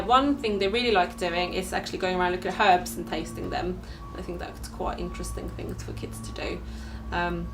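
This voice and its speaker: A woman who works as a farm educator, giving a relaxed interview with some fillers and restarts. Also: fairly low pitch. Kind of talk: casual interview. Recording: distant mic with background noise.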